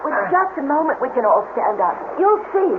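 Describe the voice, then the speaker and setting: A woman's voice: high-pitched, big pitch swings, normal speed. An actress playing a nervous, claustrophobic young woman in a cave-set radio drama. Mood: uneasy. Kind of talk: 1970s radio drama acting. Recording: tinny old radio broadcast, background noise.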